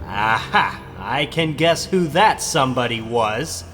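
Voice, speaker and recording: A man's voice: mid-range voice, animated, takes it slowly, fairly bright tone, faint music behind. A man playing Peter Parker, a wry, bookish, youthful teenage schoolboy with sardonic delivery in a comic book dramatization.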